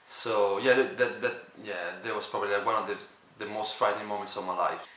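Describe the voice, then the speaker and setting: A man from Florence, telling a personal story in a halting way, searching for words. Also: lowish voice, steady tone, a bit bright, reverberant, recorded from a distance, rough recording. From Florence, Italy. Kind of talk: personal story told to camera.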